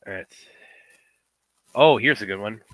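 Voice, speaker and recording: A man's voice: lowish voice, measured pace, a bit bright, dry recording, low-quality recording. A young man co-hosting a live stream, rambling with many fillers and restarts, sniffling from a runny nose. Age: young adult. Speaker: co-host. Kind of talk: casual live stream chat. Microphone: computer headset mic with sound trouble.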